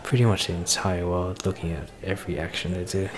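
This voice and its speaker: A man playing a shy, flirtatious celebrity in an ASMR romance roleplay, speaking hesitantly with nervous soft laughs. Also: low voice, level tone, a little fast, lightly acted. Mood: chatty, earnest. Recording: bar crowd chatter behind voice. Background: crowd noise of a bar.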